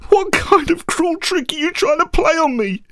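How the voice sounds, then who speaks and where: A man's voice: high-pitched, huge pitch swings, measured pace, no room sound, clean studio sound, faint music behind, pitched above the performer's own voice, heavily acted. A man voicing a grief-stricken, angry disciple who refuses to believe, in anguished outbursts, as part of a dramatic story. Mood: anguished anger. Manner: anguished, gasping, shouting outbursts.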